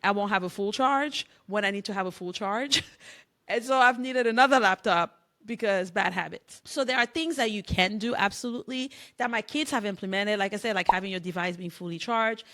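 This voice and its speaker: A middle-aged woman from Cameroon talks straight to camera as a business coach, chatty and lively. Her voice sits at a middle pitch. She laughs now and then. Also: brisk. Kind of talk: casual talk to camera.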